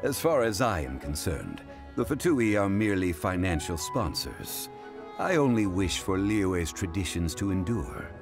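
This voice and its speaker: A man playing a dignified, learned gentleman in a fantasy video game, speaking in a formal, measured and solemn way. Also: low-pitched, big pitch swings, heavily acted. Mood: calm, dignified. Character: dignified, learned young-looking male funeral parlor consultant. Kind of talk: video game character dialogue. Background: music.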